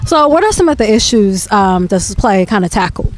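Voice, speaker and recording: A woman's voice: mid-range voice, big pitch swings, fairly quick. A woman hosting an interview, asking the guests friendly, prompting questions. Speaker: interview host.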